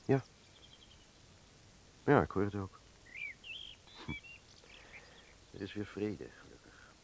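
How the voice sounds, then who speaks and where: A man in a radio play, acting a grave, deliberate wartime figure with a gravelly voice. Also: on the low side, lively intonation, noisy background, heavily acted.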